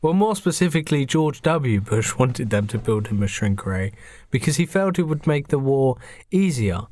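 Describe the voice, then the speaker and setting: A man's voice: medium pitch, big pitch swings, normal speed, darkish tone, a little hiss or hum. A man narrating a comic radio play on a podcast in a mock-dramatic storytelling manner.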